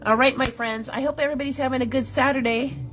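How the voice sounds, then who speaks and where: A middle-aged shop owner with a scratchy, slightly nasal voice, chatting casually on a craft livestream. She greets viewers by name and fills pauses with "um". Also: sits high, steady tone, a bit bright, faint music behind. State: scratchy, a bit nasal from a cold. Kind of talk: casual live-stream chat.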